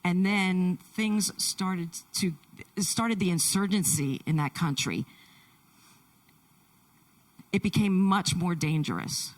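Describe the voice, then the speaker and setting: A woman pastor introducing and interviewing a guest at a church service, speaking in short, deliberate phrases. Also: fairly low pitch, recorded from a distance, poor audio. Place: church sanctuary.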